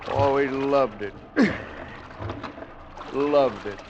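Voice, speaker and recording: An actor playing a dutiful, dryly fatalistic shipwrecked sailor in an old radio drama, terse and sardonic. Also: male voice, mid-range voice, drawn-out words. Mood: terse, wry. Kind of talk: 1940s-50s radio acting. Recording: dull old radio recording, faint noise.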